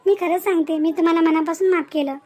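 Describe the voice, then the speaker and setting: A woman voicing a soft-hearted, conscientious young wife in a cartoon domestic story, speaking gently. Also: very high-pitched, fairly even intonation, fast talker. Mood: relenting.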